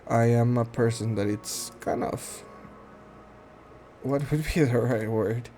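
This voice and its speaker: A man in his thirties from Guatemala, speaking English with a Guatemalan Spanish accent, introducing himself in a shy, halting way full of ums and restarts. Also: mid-range voice, fairly even intonation, faint music behind. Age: 30s. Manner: shy, soft-spoken, earnest. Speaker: gaming channel host. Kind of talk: casual self-introduction while playing a game.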